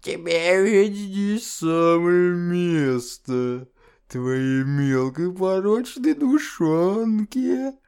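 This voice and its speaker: A sinister house spirit whose voice is made of static drawls each word with crawling slowness. His tone is smooth, whispering and menacing. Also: high-pitched, darkish tone, voice pushed up, heavily acted. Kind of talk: audiobook character voice.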